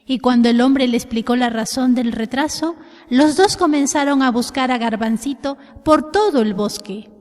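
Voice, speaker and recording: A woman narrating a scripted children's tale in an expressive storytelling style. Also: sits high, moderate pace. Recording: long hall reverb.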